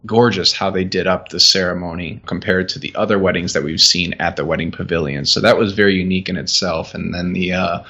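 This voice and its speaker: A man's voice: deep voice, sharp tone. A man casually recounting memories as a guest, chatty and peppering his talk with "like". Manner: chatty, uses "like" often. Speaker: podcast guest, groom recalling his wedding. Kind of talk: casual conversational recollection. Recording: dull lo-fi voice recording.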